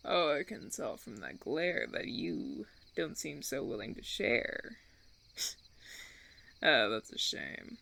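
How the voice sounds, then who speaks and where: A man playing a suave, condescending vampire colony leader in a roleplay audio drama, theatrical and teasing, with drawn-out phrasing. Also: on the high side, big pitch swings, gravelly, pushed and bright, heavily acted. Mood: playfully mocking.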